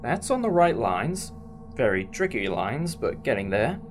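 A man's voice: mid-range voice, sing-song, unhurried, over music. A man acting in a fan audio drama as a thoughtful, reassuring alien time traveller who explains things in a musing, conversational way. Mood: musing, teacherly.

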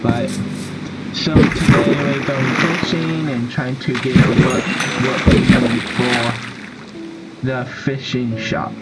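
A man giving casual gameplay commentary in a halting way, with sentences that trail off. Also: medium pitch, steady tone, loud music behind the voice. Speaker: gaming video host. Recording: very noisy home game recording with clicks.

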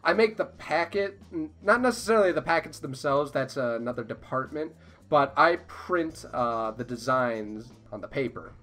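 A man chatting casually as a podcast guest, easygoing and a little halting in how he gets his sentences out. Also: medium pitch, expressive, a bit bright.

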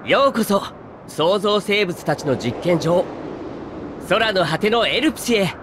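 A man's voice: high voice, animated, a little slow, noisy background. A man voicing an easygoing, courteous young robed mage in a video game, breezy and friendly. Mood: grand welcome. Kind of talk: Japanese video game voice acting.

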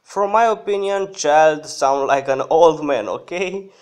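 Slow-talking man walking through an app. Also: fairly high pitch, clear, resonant tone. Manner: halting, hesitant. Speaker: app review host. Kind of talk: casual screen-recorded app tutorial.

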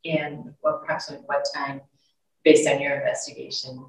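A woman's voice: low-pitched, little pitch movement, brisk. A woman speaking as a prosecutor, putting formal questions to a witness in court. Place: courtroom, picked up from afar. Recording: echoey courtroom, distant mic, faint noise.

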